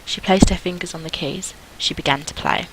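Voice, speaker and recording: A woman's voice: on the low side, a little fast, very noisy. A young adult woman reading a visual novel aloud, with casual asides as host of a gaming video.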